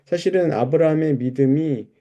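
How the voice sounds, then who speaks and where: A man's voice: a little high, brisk, mellow, muffled recording. A man preaching in a conversational, explanatory way, walking listeners through scripture and putting questions to them. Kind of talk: expository Bible sermon, conversational teaching.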